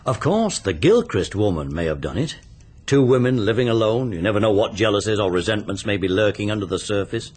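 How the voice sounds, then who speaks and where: Radio-drama investigator, English accent, low voice, wide intonation, dry, matter-of-fact, sceptical. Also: male voice, sing-song, a little fast, darkish tone. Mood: dry, speculative. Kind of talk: radio mystery drama acting.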